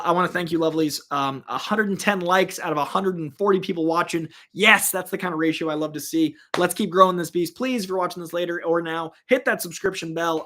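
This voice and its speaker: A man's voice: fairly high pitch, sharp tone. A man hosting a comics livestream, talking casually and rambling with lots of fillers as he thanks viewers. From born in Virginia, raised in Massachusetts. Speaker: host of a comics news livestream.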